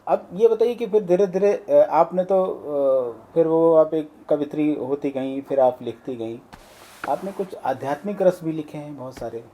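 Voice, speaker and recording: A man's voice: a little high, a little slow, mellow. A man hosting a casual interview podcast in a teasing, jovial manner, recorded with some faint background noise. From Awadh, north India. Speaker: podcast host.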